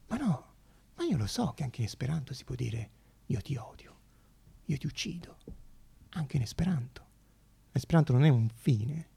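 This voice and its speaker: An actor playing a small, idealistic man who pleads earnestly and haltingly in a hushed, theatrical radio monologue. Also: medium pitch, gravelly, breathy edge, voice pushed down, heavily acted. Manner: hushed, halting, insistent, with whispered phrases.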